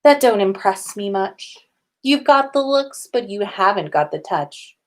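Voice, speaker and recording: A woman's voice: medium pitch, animated, unhurried, natural voice. A woman voicing a teenage boy wizard with a sassy, teasing delivery, reciting a mocking song in a story reading.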